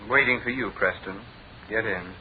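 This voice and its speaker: An actor with a British accent playing a coolly menacing, sardonic private detective who gives clipped commands in a radio drama. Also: male voice, fairly low pitch, moderate pace, lightly acted. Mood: cool menace. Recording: thin phone-like old radio recording, crowd-like noise.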